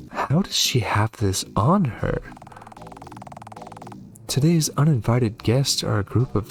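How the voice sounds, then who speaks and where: A man's voice: medium pitch, creaky, darkish tone. A man hosting a game playthrough, reading on-screen text aloud and adding short reactions of his own. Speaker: gaming video host.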